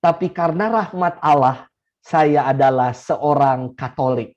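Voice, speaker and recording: Slow-speaking Indonesian priest, mellow voice. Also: male voice, on the high side. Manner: unhurried, repeats key phrases for emphasis, chuckles. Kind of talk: teaching talk to a congregation. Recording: slightly dull lo-fi recording.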